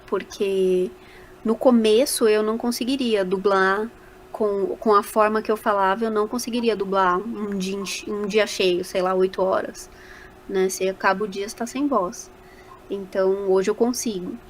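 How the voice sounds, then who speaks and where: A woman's voice: medium pitch, steady tone, moderate pace, a little hiss or hum. A Brazilian woman speaking casually as a voice actor guest in a podcast interview, animatedly telling stories and acting out little quoted remarks.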